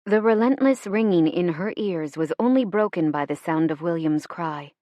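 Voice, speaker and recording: A woman reading fiction as an audiobook narrator in an expressive, measured, dramatic storytelling style. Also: fairly low pitch, no room sound, clean studio sound.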